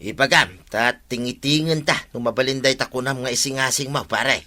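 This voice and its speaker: A man's voice: mid-range voice, moderate pace, pushed and bright, close to an everyday voice. A man with an Ilocano accent playing a sly, exclamatory henpecked pig-raising husband in a comedy radio drama. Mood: puzzled, eager. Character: scheming, henpecked village husband who raises pigs.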